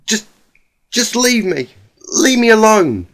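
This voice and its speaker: Man voicing a panicked, high-pitched young pony mare. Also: big pitch swings, slow pace, fairly bright tone, heavily acted. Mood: distraught, pleading. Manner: stammering, pleading, repeating words. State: panicked, choking, breathless. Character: young female pegasus pony, terrified, distraught, pushing help away.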